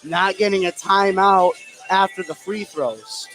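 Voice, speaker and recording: A man's voice: high voice, unhurried, a bit bright, faint music behind, rough recording. A man giving live color commentary on a basketball game, analytical and often putting questions to his partner.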